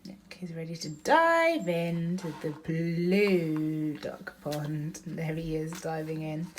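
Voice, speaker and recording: A woman's voice: sits low, slow pace, a little hiss or hum. A woman reading a bedtime picture book aloud as a mother to her young child, with sing-song emphasis and chatty asides and questions about the pictures. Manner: child-directed, asking questions and pointing out pictures.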